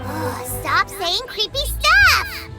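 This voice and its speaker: Squeaky, extremely high, childlike fairy companion. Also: female voice, wildly swooping pitch, extremely slow, loud music behind the voice, heavily acted. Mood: pouty, indignant. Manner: squeaky, complaining, inquisitive. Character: tiny childlike fairy companion, pouty and curious. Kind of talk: video game character dialogue.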